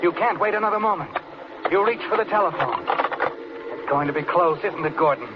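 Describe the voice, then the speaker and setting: An actor playing an ominous, all-knowing narrator in dramatic, insistent 1940s radio suspense style. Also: male voice, sits high, moderate pace, natural voice. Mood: ominous, urgent. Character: ominous omniscient narrator taunting a doomed murderer. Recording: muffled old radio recording, loud music.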